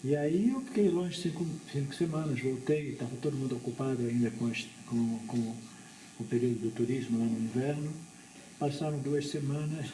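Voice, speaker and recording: A man with a Brazilian Portuguese accent teaching spiritual philosophy informally to a small group, explaining in a halting way with repeated words. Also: medium pitch, dark tone. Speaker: Vedanta teacher. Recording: echoey room with background noise.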